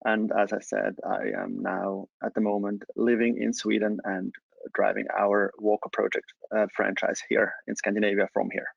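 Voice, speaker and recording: A man's voice: lowish voice, level tone, moderate pace, gravelly, slightly rough, dry recording. Man presenting, dull dry audio. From Switzerland. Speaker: change management consultant, webinar presenter. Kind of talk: webinar presentation. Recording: dull, lo-fi online call audio.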